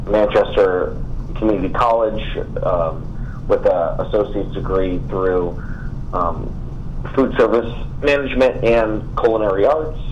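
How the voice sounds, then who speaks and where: Earnest low-voiced man on a phone line. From Puerto Rico. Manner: earnest, eager, a few fillers. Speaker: new committee member introducing himself. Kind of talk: self-introduction on a conference call. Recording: muffled phone line with faint hiss.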